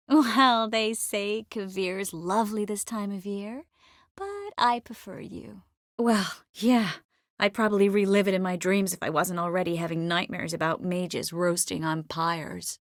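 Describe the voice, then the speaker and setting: An earnest, quick-witted young sorceress from a fantasy video game acted by a woman. She speaks with tenderness at a middling pitch, in dramatic dialogue. The sound is dry and close, free of any room. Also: no room sound, natural voice. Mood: wry, tender.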